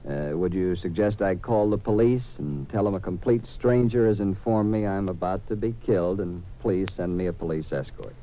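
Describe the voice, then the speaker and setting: An actor playing a skeptical, indignant lawyer in a 1950s radio drama, speaking in formal, precise phrases. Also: male voice, low-pitched, moderate pace, hardly any pauses, slight background noise, lightly acted. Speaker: supporting actor. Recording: muffled old radio recording.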